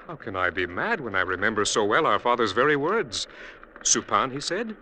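An actor playing a stubborn, grasping younger brother in an Indian village, speaking formally and earnestly in 1950s radio drama style. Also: male voice, mid-range voice, very animated, normal speed, sharp tone, low-quality recording. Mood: smug. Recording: old radio recording, faint background noise.

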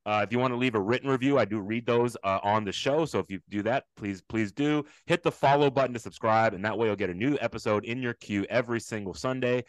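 Male podcast host, quick and chatty, dry close sound. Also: mid-range voice, clear, resonant tone, dry recording, rough recording. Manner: upbeat and chatty. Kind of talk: casual podcast interview.

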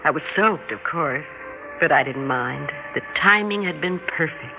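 A thin, tinny old radio recording with music behind it. a self-assured American wife, sly and very expressive, in a sixties radio drama. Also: female voice, low-pitched, normal speed. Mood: composed, confiding. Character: sly, self-assured suburban wife with secret psychic gift. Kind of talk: 1960s radio drama acting and first-person narration.

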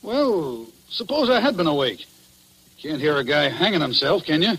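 An actor playing a defensive, folksy night jailer who talks in slang, in old radio drama style. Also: male voice, fairly high pitch, sing-song, moderate pace, a bit bright, husky, exaggerated voice. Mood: casual, defensive. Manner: slangy, uneducated grammar. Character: casual, defensive, folksy male jail night officer. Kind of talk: 1940s radio drama acting. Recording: noisy old radio broadcast recording.

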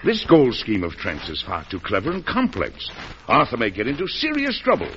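An actor playing a weary, suspicious American president, blunt and deliberate, in an old-time radio drama. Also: male voice, medium pitch, huge pitch swings, a bit bright. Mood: exasperated, urgent. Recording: dull, noisy old radio broadcast.

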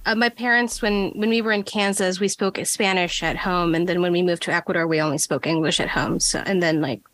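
A woman's voice: lowish voice, steady tone, a little fast, a bit bright. A woman co-hosting a podcast, chatting casually and listening with frequent small replies. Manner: attentive, frequent backchannels. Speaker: podcast co-host. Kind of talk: casual interview chat.

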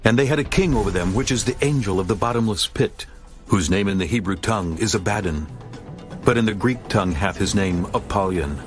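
Low-pitched solemn male scripture narrator over music. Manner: solemn, deliberate.

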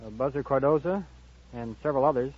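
A man's voice: medium pitch, fast talker. An American man in his twenties answering questions in a matter-of-fact way as a contestant on a vintage radio show. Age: 20s. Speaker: quiz show contestant, greeting card writer. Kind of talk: interview answers. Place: radio studio before an audience. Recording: muffled vintage radio broadcast.

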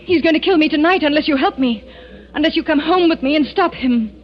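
An actress playing a frightened wife of a killer in 1940s radio drama, pleading breathlessly and dramatically. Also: female voice, high-pitched, a little fast, close to an everyday voice. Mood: desperate, fearful. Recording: muffled 1940s radio broadcast, music behind.

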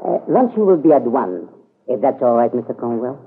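On a tinny old radio recording, a gentleman's manservant with a creaky male voice. He is formal and gallant, discreetly guarded, with a lively lilt in his deferential phrases. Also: medium pitch, a little hiss or hum. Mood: courteous. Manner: formal, measured, tactfully reticent. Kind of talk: old-time radio soap opera acting.

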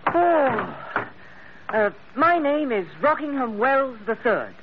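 A man's voice: extremely high pitch, sing-song, slow pace, full character voice. A male actor playing an earnest, well-bred boy of fourteen, courteous and eager, in a 1940s radio drama. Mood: relieved, polite. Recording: tinny old radio, faint noise.

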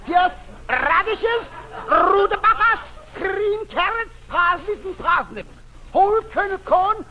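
Noisy vintage radio: very high comic cook, fussy, sputtering, rolled Rs. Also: male voice, expressive, heavily acted. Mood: fussy, elaborate. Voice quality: sputtering, rolled, drawn-out R sounds. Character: fussy sputtering cook with absurd trilling delivery. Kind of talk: 1940s radio comedy acting. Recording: dull, noisy old radio broadcast.

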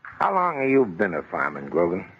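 A man's voice: sits low, wildly swooping pitch, normal speed. An actor playing a restless, impatient rookie fireman, slangy and complaining, in old radio drama style. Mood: restless grumbling. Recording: muffled, slightly noisy vintage radio.

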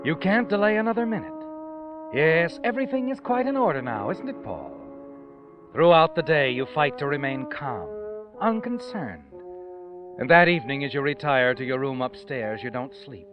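A man's voice: fairly high pitch, very animated, moderate pace. A man acting as the sly, taunting narrator of an old-time radio thriller, speaking insinuatingly to a guilty schemer. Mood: sly, ominous. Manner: sly, insinuating, addressing the character directly. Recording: muffled vintage radio recording, music behind.

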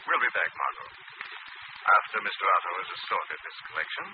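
An actor playing a composed, urbane gentleman sleuth in an old-time radio drama. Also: deep voice, very animated, brisk. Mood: intent. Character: suave, inquisitive gentleman sleuth, composed adult man. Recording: tinny, noisy vintage radio recording.

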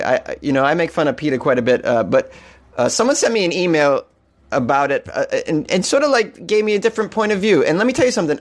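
A man with a Boston accent hosting a comedic weird-news podcast, rambling and joking irreverently, often laughing at his own lines. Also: fairly high pitch. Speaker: podcast host.

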